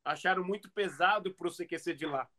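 A man with a notably high voice speaks as a guest in a casual interview. He tells anecdotes in an animated, conversational way, as a comedian would among peers. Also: fairly quick, crowd noise. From outside Brazil.

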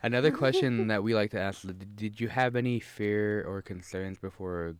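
Man, podcast co-host, friendly, chatty, smooth low voice, dry sound. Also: moderate pace, no room sound. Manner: friendly, chatty, hesitant with fillers. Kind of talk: casual podcast interview.

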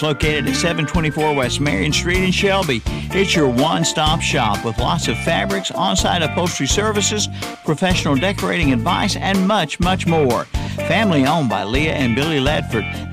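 An American man voices a scripted radio commercial. His pitch is a little high. Loud guitar music plays right behind his voice. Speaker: radio ad announcer.

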